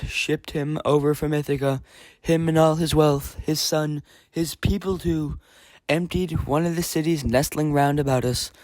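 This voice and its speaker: A man's voice: on the high side, darkish tone, no room sound, voice pushed up, lightly acted. A man voicing a hearty, nostalgic warrior king with earnest, formal declamation in an audiobook.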